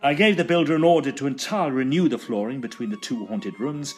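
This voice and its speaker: A man playing a fussy, curious Edwardian gentleman ghost hunter in a tongue-in-cheek period audio drama, speaking in a formal, exclamatory, old-fashioned way. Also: medium pitch, fast, faint music behind, natural voice. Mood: measured storytelling.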